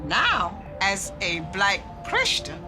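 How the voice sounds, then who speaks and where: A woman acting weary churchgoing woman, very lively pitch, earnest testimony, film drama, loud music. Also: slow. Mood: grave, fed up.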